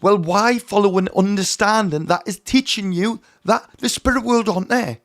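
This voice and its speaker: A hoarse man giving an earnest, emphatic teaching talk on mediumship, often calling his viewers his friends. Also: high voice, normal speed, fairly bright tone. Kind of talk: direct-to-camera teaching talk.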